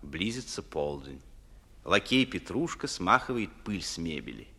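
A man's voice: deep voice, sing-song, unhurried, pushed and bright. A man reading scene-setting narration for a 1950s radio play, in a formal scripted style, with long reverberation. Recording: long reverberant hall sound.

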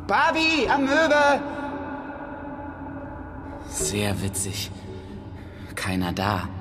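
An actor playing a sarcastic, jittery young man with a slangy, muttering delivery in a radio crime drama. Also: mid-range voice. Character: sarcastic young man, jittery, irritable, foul-mouthed, lost in darkness. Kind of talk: naturalistic German radio drama acting.

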